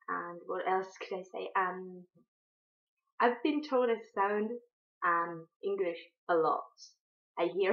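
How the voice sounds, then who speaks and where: Hesitant young Finnish woman, muffled sound. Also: fairly low pitch. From Finland. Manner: hesitant, many ums and restarts, laughs between words. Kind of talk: unscripted talk to camera. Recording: dull lo-fi sound.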